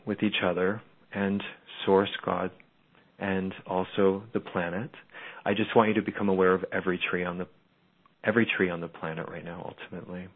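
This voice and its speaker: A man leading a slow, soothing guided meditation as a spiritual guide on a call-in show. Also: low voice. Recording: phone-line audio.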